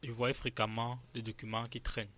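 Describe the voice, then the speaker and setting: A man's voice: medium pitch, flat delivery, fairly quick, fairly bright tone. A young man, a student and app developer, earnestly explaining his project step by step as a radio guest. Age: young adult. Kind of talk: radio interview.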